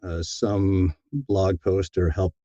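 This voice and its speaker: A middle-aged man with a low, mellow voice talks casually as an interview guest. He is reflective and a little halting, often doubling back on words. The audio is dry and slightly dull. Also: flat delivery, dry recording. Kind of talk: casual conversational storytelling.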